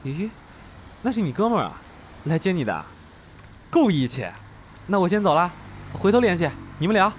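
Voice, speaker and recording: A man's voice: high voice, big pitch swings, normal speed, long pauses, slight background noise. A man playing a chatty, over-familiar young bachelor driver in an audio drama. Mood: friendly, grateful.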